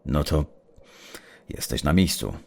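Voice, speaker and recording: A man's voice: rumbling low, animated, takes it slowly, vocal fry, exaggerated voice. A man reading a cold, distant middle-aged father in an audiobook, in a curt, stern manner.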